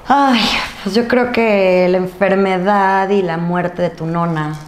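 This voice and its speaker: A Mexican woman in her thirties chatting casually as an interview guest, informal, with drawn-out words and pauses. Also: medium pitch, steady tone. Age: 30s. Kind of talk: casual chat. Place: indoor studio set at a desk. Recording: roomy sound, noticeable background noise.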